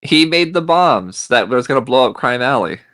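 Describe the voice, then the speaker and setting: A man's voice: medium pitch, expressive, moderate pace, a bit bright. Man chatting on a podcast panel. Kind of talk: casual panel discussion, fan chat.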